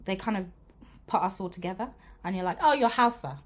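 A British woman guest in a casual podcast chat. She explains at length in a relaxed way, with lots of "like" fillers. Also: mid-range voice, fairly quick. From Britain.

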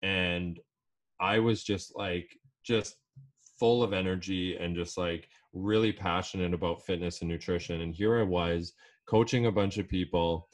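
A man in his thirties, a life coach, talking as a podcast guest in a relaxed, rambling way with fillers. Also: deep voice, unhurried. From Canada. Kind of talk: casual interview talk.

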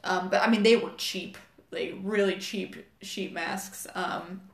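Young woman, mid-pitched voice, thoughtful and hedging, casual chat, roomy sound. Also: fairly even intonation, measured pace, creaky. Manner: thoughtful, hedging, many fillers and self-corrections. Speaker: co-host, company staff. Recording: echoey room.

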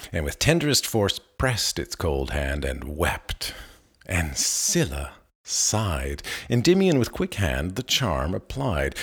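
A man giving a measured, expressive, dramatic reading of old narrative verse. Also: sits low. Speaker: poetry reader.

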